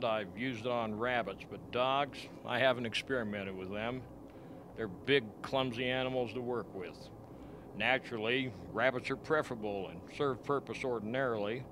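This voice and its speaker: A man acts out a frank, grim scientist doctor in a radio play. He explains in a deliberate way at a middling pitch, over faint background noise. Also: lightly acted. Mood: measured explaining. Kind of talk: amateur radio play acting.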